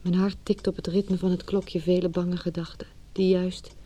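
An actress playing an anxious, doubting wife in a radio drama, hesitant and intimate, with a breathy, hushed delivery. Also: female voice, on the low side, flat delivery, normal speed, natural voice. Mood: anxious, brooding. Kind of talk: radio drama acting with inner monologue.

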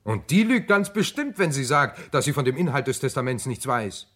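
An actor playing a keen, suspicious police assistant in a German radio crime play, eager and deductive. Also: male voice, mid-range voice, big pitch swings, normal speed, fairly bright tone.

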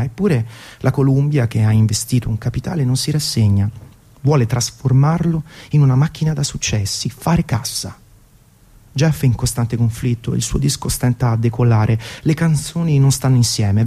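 A man's voice: mid-range voice, warm tone, husky. A man, reading a scripted music documentary monologue in a theatrical, storytelling way with colloquial asides. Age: 30s. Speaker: radio presenter. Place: radio broadcast.

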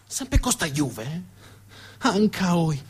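A man's voice: on the high side, very animated, very slow, breathy edge, pitched below the performer's own voice, exaggerated voice. A man acting a fanatical football fan in a stage monologue, exclamatory and repetitive, with dialect. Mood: sheepish, stubborn. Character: fanatical male Juventus fan, rough dialect, new father.

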